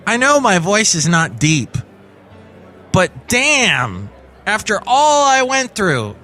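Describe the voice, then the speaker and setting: A man hosting a podcast with a rambling, self-mocking comic monologue full of asides, with loud music behind. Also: high voice. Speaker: podcast host. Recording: loud music bed under voice.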